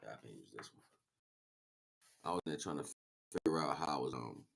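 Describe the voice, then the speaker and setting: Very deep, gravelly man. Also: expressive, a little fast, noisy background. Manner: rambling, slangy, muttering to himself. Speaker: live streamer. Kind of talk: casual unscripted livestream chat.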